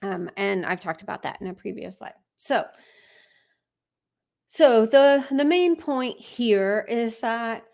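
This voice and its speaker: A woman hosting a true crime live stream, giving informal, conversational commentary with wry asides. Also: fairly high pitch. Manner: conversational, wry asides, acts out read messages.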